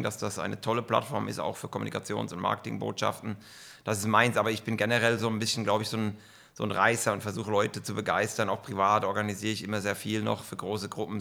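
Gravelly, bright-voiced German man. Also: on the low side. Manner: enthusiastic, many fillers. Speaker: football club executive. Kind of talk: podcast interview answers.

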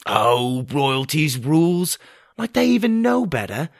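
A sharp-tongued fairy mother from a storybook, voiced by a man. She sounds bossy and scornful, pitched well above a man's usual voice and loud. The sound is dry and close. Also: big pitch swings, moderate pace, vocal fry, dry recording, full character voice. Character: spirited sarcastic fairy mother.

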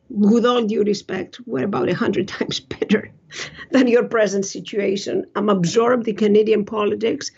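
A woman's voice: mid-range voice, gravelly, a little mellow, breathy edge, muffled recording. A woman in her sixties from Greece with a Greek accent, speaking as an interview guest in a blunt, emphatic, self-assured conversational way. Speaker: interview guest, physician and author. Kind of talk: conversational personal storytelling.

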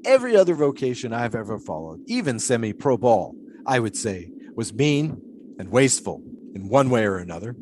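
An actor playing an eccentric, pious 1920s flagpole sitter in an audio drama, talking in a folksy, loquacious, philosophizing way with period idiom. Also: male voice, medium pitch, big pitch swings, fairly quick, darkish tone, a little hiss or hum, lightly acted. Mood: proud, musing.

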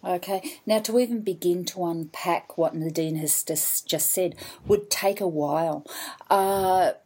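An Australian woman hosting a podcast, chatting with a guest in a lively, enthusiastic way. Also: on the low side, measured pace, breathy edge. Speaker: podcast host. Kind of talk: casual interview chat.

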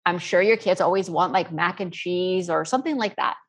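Low-pitched woman, earnest interview guest. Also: fairly quick. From Spain. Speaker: interview guest, self-taught cook. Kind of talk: conversational interview answers.

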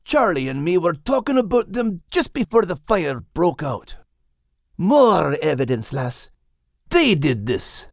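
A man voicing a stubborn male dog who speaks in a rough brogue and calls his friend "lass". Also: fairly high pitch, very animated, unhurried, no echo, studio quality, pitched above the performer's own voice. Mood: angry. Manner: blunt, dialect words like "lass" and "me life".

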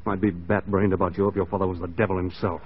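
A man's voice: deep voice, flat delivery, quick pace, slightly rough. An actor playing a lovesick young mounted policeman torn between duty and passion, intense and melodramatic in 1940s radio style. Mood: guarded. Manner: intense, melodramatic romantic delivery. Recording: muffled 1940s radio recording.

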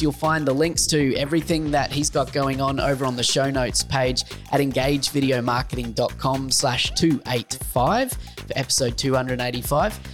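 Upbeat Australian podcast host. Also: male voice, mid-range voice. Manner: upbeat, friendly, promotional. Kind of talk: interview hosting and scripted outro.

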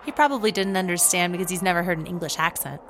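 A woman's voice: lowish voice, fast, a bit bright, soft background music. A woman playing a helpful, literal-minded prison sidekick in a comedy audio drama, explaining things matter-of-factly.

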